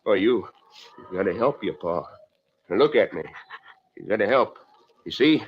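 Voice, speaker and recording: A man's voice: on the low side, expressive, normal speed, close to an everyday voice. An actor playing a surly, evasive American farmer and father in an old-time radio drama, muttering terse fragments and barking orders. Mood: panicked, muttering. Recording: muffled, noisy old radio recording.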